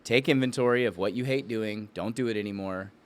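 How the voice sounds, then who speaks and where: A man's voice: on the low side, brisk. Casual man telling it like a buddy. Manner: informal, bro-ish storytelling. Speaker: podcast co-host and business owner. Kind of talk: casual conversational podcast talk.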